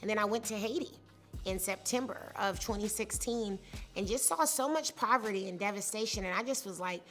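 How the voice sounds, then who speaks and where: A woman in her thirties with a noticeably high-pitched voice speaks in an earnest, conversational way. She tells her own story and keeps reaching for small fillers as she goes. Her pitch sits at an ordinary level for a woman. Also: brisk, a bit bright, faint music behind. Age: 30s. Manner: earnest, conversational, many "you know" and "like" fillers. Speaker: startup founder. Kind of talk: personal storytelling interview.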